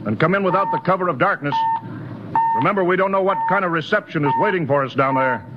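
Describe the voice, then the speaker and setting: A man's voice: sits high, fast talker, natural voice. An actor playing a resolute male spaceship captain with clipped, authoritative military delivery in a 1950s radio drama. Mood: commanding, steady. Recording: muffled vintage radio broadcast, busy background sound.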